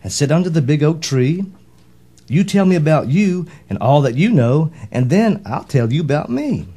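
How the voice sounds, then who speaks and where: A man's voice: a little high, very animated, normal speed, dark tone, breathy edge, voice pushed up. A man voicing a friendly, earnest young male duck in a children's storybook, speaking in simple singsong rhyme. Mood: curious. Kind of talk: rhyming children's storybook character.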